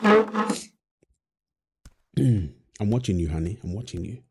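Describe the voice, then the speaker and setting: A man's voice: fairly low pitch, huge pitch swings, slow pace, breathy edge, full character voice. A man playing a young father feeding his baby daughter, playful and affectionate, laughing often. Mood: playful teasing. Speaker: voice actor in audio roleplay. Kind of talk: wholesome audio roleplay.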